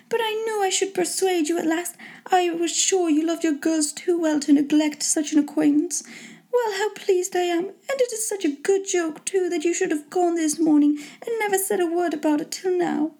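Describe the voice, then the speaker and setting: Woman playing a shrill, fretful, excitable storybook mother. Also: normal speed. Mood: delighted gushing. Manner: shrill, complaining, gushing, exclamatory. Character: fretful, excitable middle-aged mother with frayed nerves. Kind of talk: storybook character reading.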